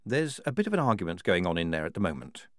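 A man's voice: sits low, very animated, quick pace, no echo, studio quality. A man voicing a young woman sergeant in a storybook, courteous and self-assured, with a polite, composed manner.

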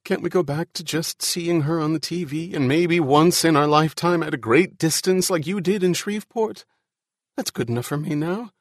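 A man's voice: mid-range voice, lively intonation, slow pace, dry recording, studio quality. A man voicing an anxious, fragile male musician in an audiobook, fretful and pleading.

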